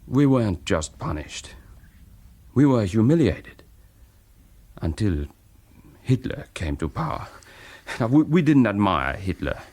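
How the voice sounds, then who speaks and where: An actor playing a reflective, persuasive half-German former wartime spy in a radio drama, speaking deliberately and haltingly. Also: male voice, fairly low pitch, very animated, darkish tone. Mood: bitter, reflective. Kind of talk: naturalistic radio drama acting.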